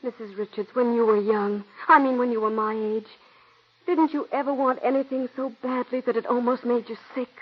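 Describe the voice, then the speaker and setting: An actress voicing a young, stage-struck female stenographer who is adoring and eager, in 1940s radio acting style with a breathy, gushing way of talking. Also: a little high, steady tone, moderate pace, natural voice. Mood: pleading. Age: young adult. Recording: dull 1940s radio broadcast.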